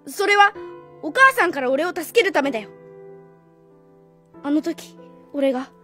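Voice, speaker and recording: A woman voicing a troubled, hesitant schoolboy in a radio drama, speaking haltingly in short phrases. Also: high-pitched, lively intonation, fairly bright tone. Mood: anguished. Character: troubled, hesitant schoolboy protective of his father. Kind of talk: Japanese radio drama acting. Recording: faint music behind the voice.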